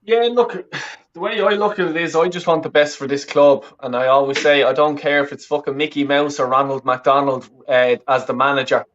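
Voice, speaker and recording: A man's voice: on the high side, fairly even intonation, moderate pace, fairly bright tone, muffled recording. A man from the west of Ireland with a Dublin accent, chatting casually as an interview guest and rambling with lots of self-corrections. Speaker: interview guest, fan channel creator. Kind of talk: casual chat.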